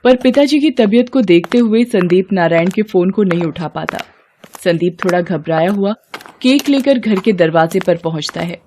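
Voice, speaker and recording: A woman's voice: mid-range voice, animated, moderate pace, warm tone, slight background noise. A woman reading scripted narration for a moral bedtime story.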